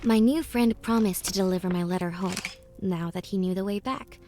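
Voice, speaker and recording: A woman playing a thoughtful, wistful young student leaving home, narrating a game's story in a gentle, reflective storybook style. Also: medium pitch, fairly quick, lightly acted. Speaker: narrator and protagonist of a puzzle adventure game. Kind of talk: scripted first-person storybook narration. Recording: music behind the voice. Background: background music.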